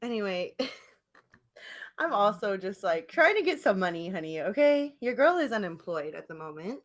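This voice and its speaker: A woman co-hosting a casual comedic podcast, telling stories in an animated, slangy way, acting out her replies and laughing often. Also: medium pitch, normal speed. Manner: animated storytelling, acts out her own text replies. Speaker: podcast co-host.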